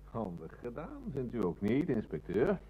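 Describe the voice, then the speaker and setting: An actor with a Netherlands Dutch accent playing a wry, needling police sergeant in an old radio drama. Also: male voice, mid-range voice, wildly swooping pitch, moderate pace, slightly rough, dull audio. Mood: sly, needling.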